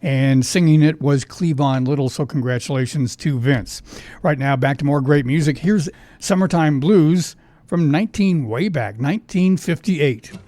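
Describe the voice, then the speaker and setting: Chatty male radio host, fairly high voice. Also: normal speed, mellow, dry recording. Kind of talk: casual oldies radio trivia show. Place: radio studio.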